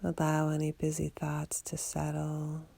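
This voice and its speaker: A woman guiding a body scan meditation for sleep in a soothing, unhurried, gently instructing way. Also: deep voice, monotone, gravelly. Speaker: meditation guide.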